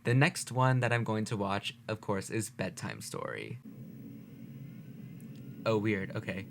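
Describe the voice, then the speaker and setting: A man's voice: fairly low pitch, lively intonation, unhurried, creaky, fairly bright tone, slight background noise. A man giving casual, analytical reaction commentary, explaining his points articulately in a conversational way. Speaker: video host, music reactor.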